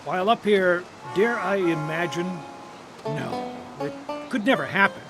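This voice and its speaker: A male feature-show host with a fairly high voice narrates in a folksy, reflective way. His phrasing is deliberate, like a storyteller. Music plays behind him. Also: animated.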